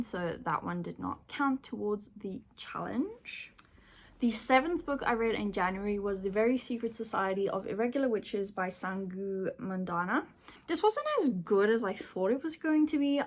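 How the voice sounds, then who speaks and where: A woman's voice: mid-range voice, a bit bright. Chatty woman with an Afrikaans background. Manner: chatty, with frequent ums. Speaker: booktuber. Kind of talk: casual chat. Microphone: phone camera.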